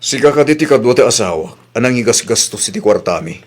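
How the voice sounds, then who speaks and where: A man's voice: mid-range voice, expressive, fast talker, close to an everyday voice. A man with an Ilocano accent playing an angry, exasperated husband in a comedy radio drama. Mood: stern, accusing. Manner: scolding, accusing, exasperated. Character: angry husband whose savings were spent.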